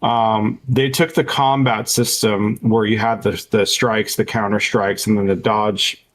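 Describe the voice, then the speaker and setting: A man co-hosting a podcast in casual conversation, somewhat halting and repeating words as he explains things. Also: lowish voice, little pitch movement, rough recording. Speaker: podcast co-host. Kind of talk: casual chat about video games and films.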